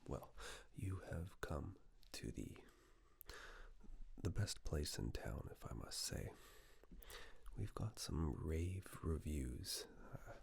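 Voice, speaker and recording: A man playing a courteous, gently salesy suit-fitting attendant in a soft-spoken, partly whispered ASMR roleplay. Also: a bass voice, gravelly, husky, exaggerated voice. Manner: polite, unhurried, hesitant pauses.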